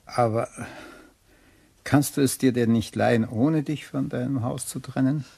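Gravelly, husky gentleman. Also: medium pitch, fairly even intonation, measured pace. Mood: tactful, concerned. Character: solicitous gentleman friend, tactful and businesslike. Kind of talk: German radio crime drama acting.

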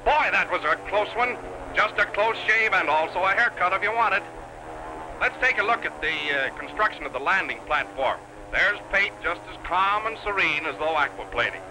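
A man giving 1930s newsreel stunt commentary in an excitable, folksy showman's delivery. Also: high-pitched, moderate pace. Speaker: newsreel announcer. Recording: noisy 1930s newsreel soundtrack.

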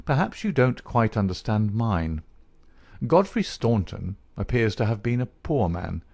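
A man reading aloud, voicing a calm, incisive male detective who questions in a measured, dry manner. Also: fairly low pitch, creaky, no echo, heavily acted.

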